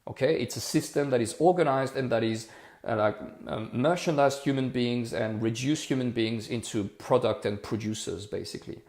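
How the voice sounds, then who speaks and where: A middle-pitched man of forty speaks English, pressing his points with repetition. It is casual livestream talk, recorded with a noticeable room echo. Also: normal speed, a little mellow. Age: 40s. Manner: impassioned, repetitive, rhetorical. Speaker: livestream host.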